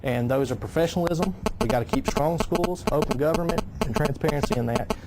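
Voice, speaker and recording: A man's voice: a little high, expressive, a little fast, background noise. A young man running for city council, speaking earnestly on a local talk show with "um" fillers and repeats.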